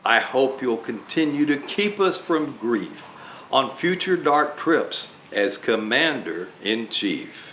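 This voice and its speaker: Man acting kindly old gift-bringer, storybook verse, stately and earnest, animated pitch, roomy echo. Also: slow. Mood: proud, grateful. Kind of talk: storybook read-aloud in rhyme. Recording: roomy echo, faint background noise.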